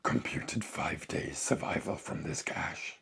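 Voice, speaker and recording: Croaking alien-possessed corpse. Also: male voice, deep voice, fairly bright tone, pitched below the performer's own voice, full character voice. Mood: cold, calculating. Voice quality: hoarse raspy croaking whisper. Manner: halting, clipped telegraphic speech, savouring words. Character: alien parasite speaking through a corpse, sinister, coldly gloating.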